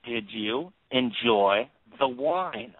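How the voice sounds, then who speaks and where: An actor playing a middle-aged, grieving father out for revenge, measured, accusing and menacing in an audio drama. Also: medium pitch, expressive, no room sound. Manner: measured, accusing, menacing, dwells on repeated phrases. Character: vengeful grieving father, coldly confronting his oldest friend. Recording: phone-like lo-fi line.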